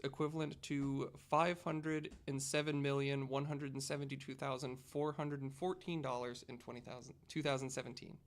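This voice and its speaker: A man's voice: on the high side, fairly bright tone. A man on a podcast panel telling a story from notes in a casual, conversational way.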